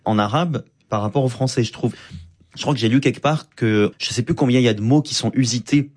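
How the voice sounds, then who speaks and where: A man, a stand-up comedian, talking in an interview in a lively, flamboyant way with quick asides. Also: medium pitch. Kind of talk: conversational interview talk.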